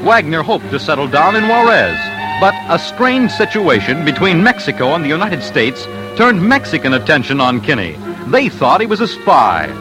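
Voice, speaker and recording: A man's voice: on the high side, big pitch swings, moderate pace, low-quality recording. A man delivering dramatic scripted narration for an old-time radio documentary. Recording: old radio broadcast with music.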